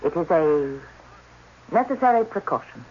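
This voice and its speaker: An actress playing a guarded alien doctor, speaking in a formal, precise manner in a 1980s radio drama. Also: female voice, deep voice, lively intonation, normal speed, breathy edge. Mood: guarded, evasive. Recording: dull, noisy old radio broadcast.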